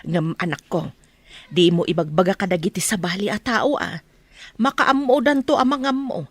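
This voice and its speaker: A woman with an Ilocano accent playing a gentle, moralizing village mother in a radio drama. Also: mid-range voice, normal speed, slightly rough, no room sound, lightly acted. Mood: gently advising.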